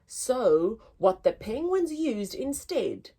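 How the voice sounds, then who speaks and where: A woman's voice: a little high, very animated, takes it slowly, mellow, husky. A woman storyteller reading a children's storybook aloud, expressively, with a sing-song rhyming delivery.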